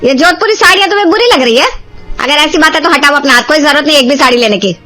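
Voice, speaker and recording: Woman as a high-voiced cunning sister-in-law. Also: expressive, fast talker, sharp tone, soft background music. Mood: mock indignant.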